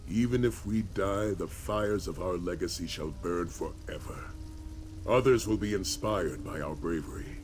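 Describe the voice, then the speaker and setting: A very low male voice for a grave warrior leader in a fantasy game. He speaks in measured, solemn, rousing phrases, weary yet hopeful. Faint ambient noise sits behind him. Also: darkish tone. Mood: resolute. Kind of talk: video game voice acting, dramatic fantasy dialogue.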